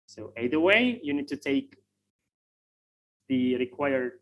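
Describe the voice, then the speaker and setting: This is a man with an Indonesian accent speaking English as a second language over a video call. He talks slowly and hesitantly, filling pauses with "uh" and restarting phrases. His pitch sits in an ordinary middle range. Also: lively intonation, fairly bright tone, muffled recording. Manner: hesitant, many fillers and restarts. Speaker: guest speaker on English tests. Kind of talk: online webinar talk.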